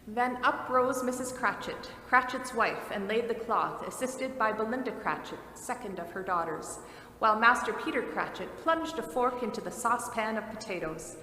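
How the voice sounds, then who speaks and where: A woman narrating a dramatic storybook reading in a measured, vivid storytelling manner, recorded in an echoey hall. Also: fairly high pitch, lively intonation, sharp tone. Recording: echoey hall, faint noise.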